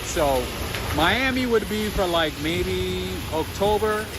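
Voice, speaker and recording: A man talks casually to his livestream audience as he walks a city street at night, his voice sitting a little high. He speaks into a handheld phone, and loud traffic noise fills the background. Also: takes it slowly. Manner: chatty, addresses viewers, lively swings in pitch. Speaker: livestream walking-tour host. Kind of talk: casual livestream chat with viewers.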